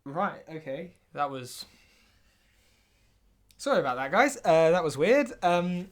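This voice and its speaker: A man co-hosting a comic mock interview, deadpan and earnestly agreeable. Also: a little high, slow pace. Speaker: podcast co-host.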